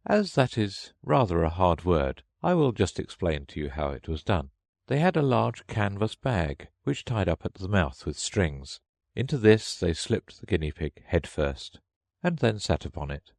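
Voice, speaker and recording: A man's voice: deep voice, very animated, measured pace, vocal fry, a little mellow, no echo, clean studio sound. A man narrating a children's storybook in a scripted read-along style.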